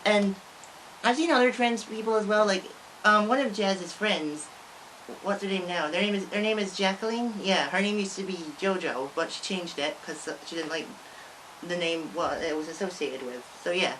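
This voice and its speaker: A woman's voice: medium pitch, normal speed, a bit bright, slight background noise, poor audio. A young woman talking casually to camera as a vlogger, rambling with lots of restarts and frequent giggles. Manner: rambling, many likes and restarts, giggles often.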